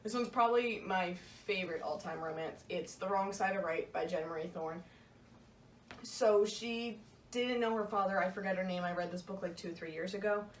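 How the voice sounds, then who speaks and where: A woman's voice: mid-range voice, normal speed. A young woman co-hosting a casual book vlog, talking earnestly in a loose, conversational way. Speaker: book vlogger, co-host. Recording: echoey room, distant mic, faint noise.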